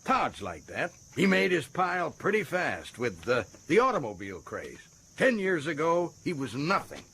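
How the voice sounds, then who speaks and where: An actor playing a folksy, self-important American prison governor in a radio drama, chatty and stammering with excitement. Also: male voice, fairly high pitch, moderate pace, slightly rough, full character voice. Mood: chatty, boastful.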